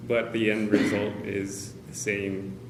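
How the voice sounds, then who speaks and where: A man speaking candidly to a meeting of caregivers, hesitant, with many pauses and fillers. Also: fairly low pitch, little pitch movement. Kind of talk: personal talk to a small group. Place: meeting room with a group of about 45 people. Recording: roomy, noisy meeting-room recording.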